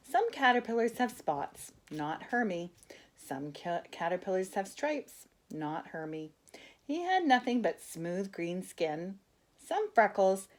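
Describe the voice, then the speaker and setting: Animated older woman reading to children. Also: lowish voice. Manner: expressive, asks the listeners questions. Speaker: grandmother reading children's books aloud. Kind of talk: animated read-aloud storytelling for children.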